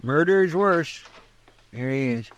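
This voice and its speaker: A loyal frontier sidekick talks in a folksy, ungrammatical American way, his voice pitched a bit high. It is classic old time radio western acting. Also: lively intonation, slow pace, muffled recording. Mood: helpful, matter-of-fact. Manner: folksy, ungrammatical rural talk.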